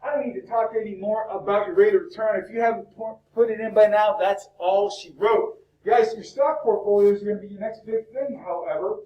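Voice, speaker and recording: A male instructor lecturing a university class in a lively, chatty way, peppering students with questions, his voice cracking. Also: sits high, fairly quick, few pauses. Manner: talkative, upbeat, fires questions at the class. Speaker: business instructor. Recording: echoey classroom from far off.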